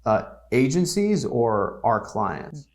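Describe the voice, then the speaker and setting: A man's voice: medium pitch, sing-song, takes it slowly. A man in his twenties, a guest giving blunt, candid business advice in a casual podcast chat. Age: 20s. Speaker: podcast guest, agency CEO.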